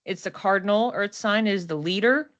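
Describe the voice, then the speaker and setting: A woman's voice: mid-range voice, brisk, lo-fi sound. A woman giving a casual, unscripted card and astrology reading, thinking aloud in a reflective, conversational way. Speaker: oracle card reader and astrology host.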